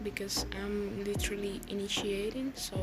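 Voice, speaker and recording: An eighteen-year-old woman from the Dominican Republic, a native Spanish speaker with a Dominican accent, talking casually to viewers about herself and haltingly searching for words. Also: medium pitch, fairly even intonation, rough recording. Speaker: language-learning YouTuber. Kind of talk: casual personal storytelling to viewers. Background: music.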